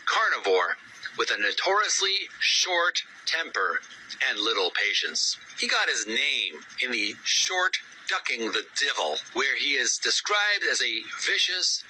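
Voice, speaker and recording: A man's voice: medium pitch, very animated, unhurried, bright, forceful tone, a little hiss or hum, low-quality recording. A man's synthetic voice reading encyclopedic text aloud, with odd stresses and misreadings. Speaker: narrator. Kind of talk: scripted encyclopedic narration.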